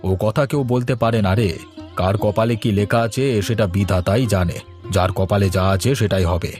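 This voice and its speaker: A man's voice: lowish voice, normal speed, darkish tone, natural voice. A man playing a self-assured, bossy, well-off village husband in a cartoon. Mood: self-satisfied. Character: doting, self-satisfied, bossy prosperous village cloth trader husband. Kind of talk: Bengali cartoon dialogue. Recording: light music behind the voice.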